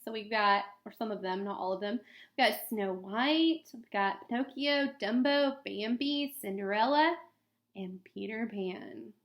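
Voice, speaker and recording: A woman, a teacher, reading a picture book aloud to children in an expressive storytelling way. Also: mid-range voice, a little slow. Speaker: elementary school teacher. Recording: echoey room sound.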